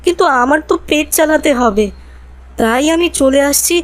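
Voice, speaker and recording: A woman's voice: high-pitched, moderate pace, lightly acted. A woman voicing a humble homeless boy of about twelve in a cartoon, earnest and plaintive in a childlike way. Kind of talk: cartoon voice acting.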